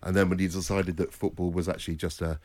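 A man's voice: sits low, little pitch movement, fast, darkish tone. A middle-aged man, a former actor, chatting on a radio show in a jokey, rambling way as he tells anecdotes. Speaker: studio guest, former actor. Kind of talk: casual radio chat.

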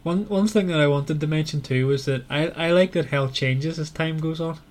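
A man's voice: fairly high pitch, normal speed, darkish tone. A man hosting a casual book discussion in a conversational, questioning way. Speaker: podcast host.

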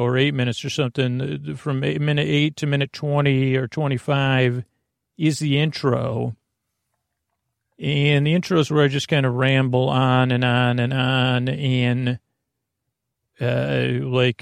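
A man with a gravelly voice hosting a sleep podcast, rambling through a bedtime monologue, over-explaining and trailing off mid-thought. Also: mid-range voice, dry recording, studio quality. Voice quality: gravelly, creaky. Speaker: podcast host.

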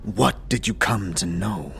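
A man playing a solemn, disciplined warrior sage who speaks in a grave, formal, measured way. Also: low-pitched, expressive, gravelly, a bit bright, breathy edge, pitched below the performer's own voice, exaggerated voice. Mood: grave, stern.

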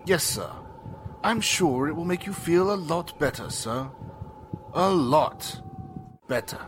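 A man playing a soothing, deferential manservant with a sinister edge in a radio drama, measured and obsequious. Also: fairly high pitch, animated, background noise.

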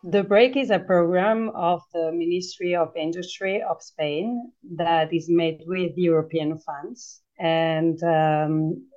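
A woman's voice: lowish voice, fairly even intonation, slow pace, hardly any pauses, darkish tone. A woman explaining a program in a conversation, hesitating with frequent fillers. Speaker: entrepreneur guest. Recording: dull, lo-fi audio.

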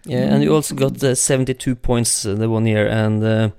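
A man's voice: mid-range voice, steady tone, fairly quick, vocal fry, a crowd in the background. A man hosting an interview, asking questions hesitantly with many uhs and slightly awkward phrasing. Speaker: interviewer, host.

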